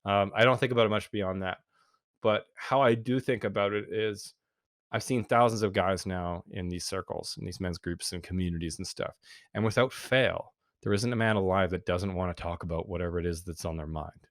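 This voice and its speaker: Deep-voiced man, casual and earnest podcast guest, dry close mic. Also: a little fast, no echo. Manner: earnest, reflective, with frequent fillers. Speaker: podcast guest, men's group founder. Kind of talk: casual interview talk.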